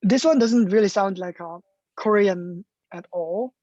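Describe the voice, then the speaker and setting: A woman's voice: medium pitch, a little slow, mellow, dry recording, muffled recording. A woman hosting a music symposium, asking questions in an enthusiastic, articulate way with some fillers. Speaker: symposium host, interviewer. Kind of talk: moderating an online talk.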